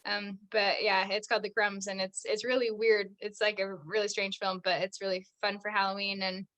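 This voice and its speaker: A woman's voice: mid-range voice, level tone, moderate pace, fairly bright tone. A young American actress chatting as a podcast guest, filling her talk with "like" and frequent laughs. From United States. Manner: chatty, says "like" constantly, laughs often. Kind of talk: casual interview chat.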